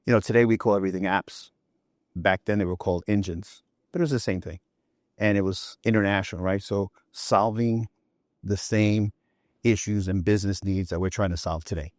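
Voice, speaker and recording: Low-voiced man in his fifties, executive guest, chatty storyteller, dry close sound. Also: fairly even intonation, fairly quick, a little mellow, no echo. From New York City. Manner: chatty anecdotes with frequent "you know" and "right?". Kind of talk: relaxed storytelling interview talk.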